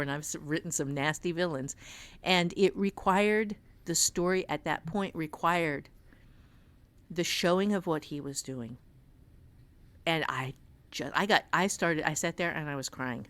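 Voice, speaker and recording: A middle-aged woman with an American accent, talking candidly and bluntly as an author and teacher, telling stories in an emphatic, dramatic way. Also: low-pitched. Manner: emphatic, dramatic, blunt, quotes remembered dialogue. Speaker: author and writing teacher, guest. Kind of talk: candid conversational storytelling.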